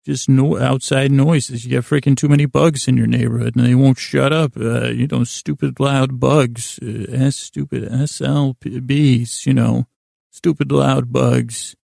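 A man hosting a bedtime podcast in a creaky voice, rambling in a lulling, halting, self-deprecating way. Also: medium pitch, fairly even intonation, dark tone, no room sound, studio quality. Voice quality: creaky, gravelly. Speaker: podcast host. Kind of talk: rambling, meandering bedtime monologue.

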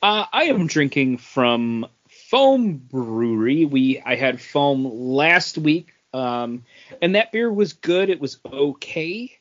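A man's voice: on the high side, lively intonation, takes it slowly, fairly bright tone. A man chatting casually as a co-host on a podcast. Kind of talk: casual chat, describing drinks and comics. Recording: dull, lo-fi audio.

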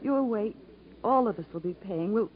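An actress playing a devoted, pleading young country wife in a 1950s radio melodrama. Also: female voice, mid-range voice, animated, moderate pace, natural voice. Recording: dull 1950s radio broadcast recording.

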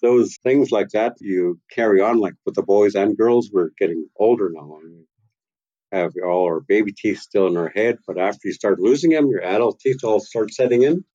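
A man in his sixties from Vancouver Island's west coast, an elder and carver telling stories slowly and gently from his home workshop. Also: lowish voice, dry recording, dull audio. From west coast of Vancouver Island, Canada. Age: 60s. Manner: slow, gentle, unhurried telling with soft chuckles. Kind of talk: reflective storytelling.

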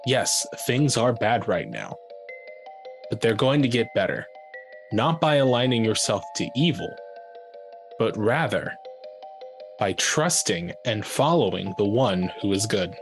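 A man giving a scripted, dramatic scripture narration with measured, solemn, deliberate phrasing. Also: fairly low pitch, big pitch swings. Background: faint music.

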